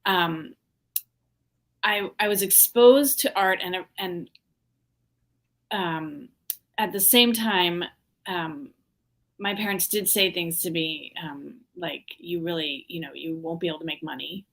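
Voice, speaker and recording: A woman from the Washington, D.C. area, an artist guest in a casual interview, talking reflectively with many ums and pauses. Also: lowish voice, fairly bright tone. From Washington, D.C. area, USA.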